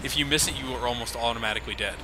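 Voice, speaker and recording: A man's voice: mid-range voice, racing, over loud music. A man giving casual, sarcastic commentary over a video game, joking about its flaws. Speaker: let's play commentator.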